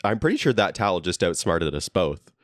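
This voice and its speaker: A man's voice: sits low, very animated, brisk, dry recording, clean studio sound. A young man co-hosting a podcast, reading a comedy script aloud and laughing often as he goes. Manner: laughs often, jokey delivery. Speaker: podcast co-host. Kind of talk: comedic read-through of a script. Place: friend's basement.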